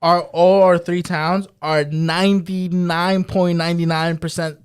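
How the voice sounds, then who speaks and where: A young man talks fast and emphatically, retelling stories with energy. His voice sits somewhat high for a man. He sounds like a casual interview guest. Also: clean tone. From Brentwood, New York. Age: young adult. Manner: animated, emphatic, storytelling. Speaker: real estate broker, guest.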